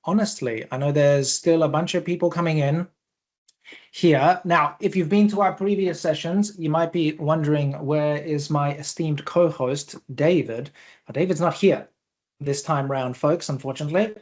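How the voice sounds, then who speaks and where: A man's voice: fairly high pitch, fairly even intonation, normal speed, a little mellow. A man with a mixed Australian and Canadian accent, hosting a live webinar in a chatty, upbeat way with frequent fillers. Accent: Australian-Canadian mix. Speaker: webinar host, company founder.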